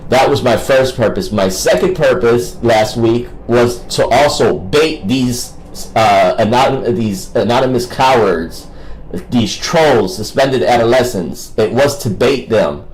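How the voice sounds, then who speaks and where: A man's voice: medium pitch, unhurried, poor audio. A man rambling casually as a livestream host, with a combative, mocking and coarse way of talking.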